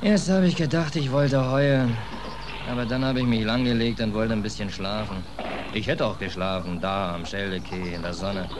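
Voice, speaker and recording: A man's voice: lowish voice, steady tone, moderate pace, close to an everyday voice. Easygoing sailor played by a man, old noisy radio. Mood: wistful, rambling. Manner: hesitant, rambling, casual. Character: easygoing, cheeky American sailor telling his story. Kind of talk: 1940s German radio play acting. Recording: noisy 1940s radio play recording.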